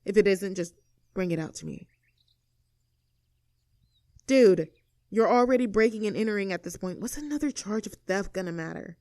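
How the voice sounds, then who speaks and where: A woman playing a sarcastic, standoffish young mean girl with a soft side in an audio roleplay, talking in a casual, teasing, blunt way. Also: mid-range voice, animated, normal speed, pauses often, no room sound, studio quality, close to an everyday voice. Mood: matter-of-fact, instructing, then teasing, impatient. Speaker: voice actor. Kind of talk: scripted audio roleplay, naturalistic one-sided dialogue.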